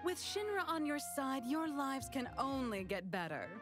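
A woman's voice: high-pitched, big pitch swings, moderate pace. A woman playing a seductive, scheming corporate saleswoman with a florid, flirtatious delivery in a game parody. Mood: seductive, persuasive. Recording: music behind the voice.

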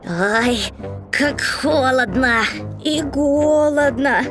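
A young raccoon girl from a cartoon, hapless and plaintive. Her high voice drags slowly through the words. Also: over music. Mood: miserable, wistful. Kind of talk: children's cartoon dialogue.

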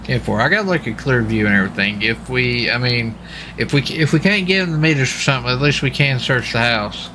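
A man's voice: mid-range voice, animated, a little fast, background noise. An American man in casual gaming radio chatter, with a folksy, easygoing manner. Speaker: streamer playing a police officer in online roleplay.

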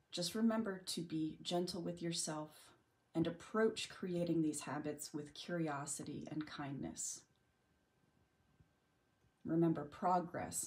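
A woman leading a guided meditation in a gentle, unhurried manner with long pauses. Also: lowish voice. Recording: music bed under the voice. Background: background music.

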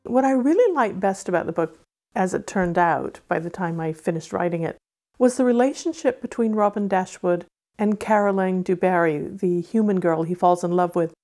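A woman's voice: lowish voice, moderate pace, darkish tone, faint music behind. A woman author with a mid-Atlantic accent, from Wales and Arizona, speaking about and reading her fiction. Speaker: author reading and discussing own novel.

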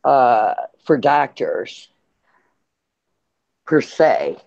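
A man talking casually and a little haltingly as a panelist in a live discussion. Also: medium pitch, big pitch swings, creaky, darkish tone, no echo. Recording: muffled, lo-fi call audio.

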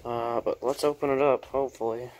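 A man's voice: mid-range voice, fairly even intonation, fairly quick. Offhand man narrating over workshop noise. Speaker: hobbyist electronics repairer showing equipment. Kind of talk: casual unscripted show-and-tell narration. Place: small cluttered indoor workshop at a bench. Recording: handheld camera audio with clear background noise.

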